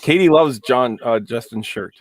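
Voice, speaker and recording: A man hosting a casual live stream, chatting and joking in a relaxed way with many fillers. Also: medium pitch, moderate pace. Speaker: host.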